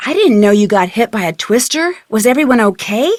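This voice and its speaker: A young female sleuth from a video game, with a fairly high voice and a close, dry sound. She is polite and inquisitive, putting her questions with open curiosity. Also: big pitch swings, brisk, darkish tone, no echo. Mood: curious, friendly. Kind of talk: video game dialogue acting.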